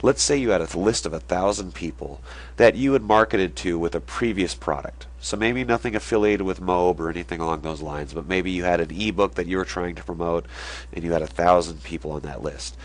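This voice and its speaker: Young male host, deep low voice, congested from a cold, casual explainer delivery. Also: fairly quick. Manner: conversational, drawing on a board while explaining. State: stuffy nose from a cold. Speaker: online marketing video host.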